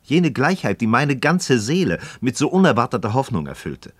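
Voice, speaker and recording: An actor playing a disillusioned, impassioned Freemason novice in a philosophical radio play, speaking in an aggrieved, rhetorical, declamatory way. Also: male voice, mid-range voice, very animated, moderate pace, no room sound. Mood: frustrated, yearning. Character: disillusioned, impassioned male Freemason novice questioning his friend. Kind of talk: mid-century German radio play acting of philosophical dialogue.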